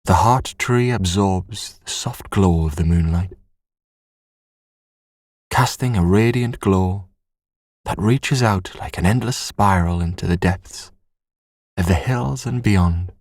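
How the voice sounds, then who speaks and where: A man narrating a scripted sleep story in a soothing, measured, hushed bedtime manner. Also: deep voice, dry recording, studio quality.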